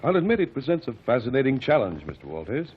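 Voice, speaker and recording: An actor playing a calm, methodical man who cross-examines witnesses in court, speaking formally and precisely, in 1940s radio acting. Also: mid-range voice, husky. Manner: measured, formal, precise diction. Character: calm, methodical male courtroom lawyer-detective. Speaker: amicus curiae lead. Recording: dull old radio broadcast, faint hiss.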